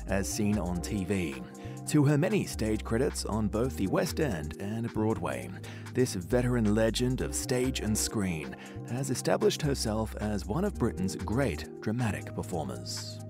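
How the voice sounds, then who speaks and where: Lively presenter with a low voice. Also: male voice, a little slow, over music. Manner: polished presenter delivery. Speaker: interviewer, presenter. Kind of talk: scripted narration and interview questions.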